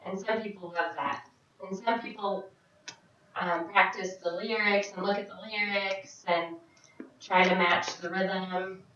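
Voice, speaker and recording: A woman voicing a signed question-and-answer vlog as an interpreter, speaking in short, halting phrases with frequent restarts. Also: medium pitch, steady tone, sharp tone, a little hiss or hum. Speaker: sign language interpreter voicing a Deaf vlogger. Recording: very echoey, distant, dull sound.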